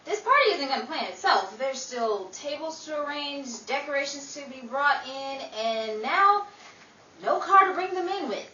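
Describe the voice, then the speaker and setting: A woman playing a bossy, brisk Hollywood party organiser in an old-time radio comedy, emphatic as she directs everyone. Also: high-pitched, lively intonation, pushed and bright, lo-fi sound. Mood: brisk, urgent. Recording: roomy, distant mic with clear noise.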